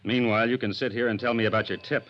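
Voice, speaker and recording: An American man acting a tough, suspicious police sergeant in 1950s radio style, gruff, sardonic and pressing. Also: mid-range voice, fairly even intonation, fast, lightly acted. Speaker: supporting actor in a radio serial. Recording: dull old radio recording.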